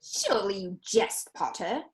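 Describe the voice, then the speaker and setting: A woman voicing a cruel, fanatical dark witch, theatrical and taunting, in an amateur table read. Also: mid-range voice, very animated, a little slow.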